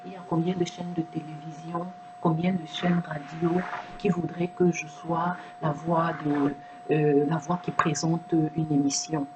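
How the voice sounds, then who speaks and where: Low-voiced earnest woman, non-native French. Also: flat delivery, measured pace, noisy background. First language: not French. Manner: earnest, many restarts and repeated words. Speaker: video presenter. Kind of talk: reflective monologue to camera.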